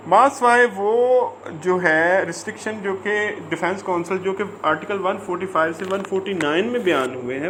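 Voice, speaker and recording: High-pitched Pakistani man lecturing on law. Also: a bit bright, slight background noise. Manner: halting, repeats phrases, stretches out English words when reading. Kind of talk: informal lecture, reading English statute and explaining it.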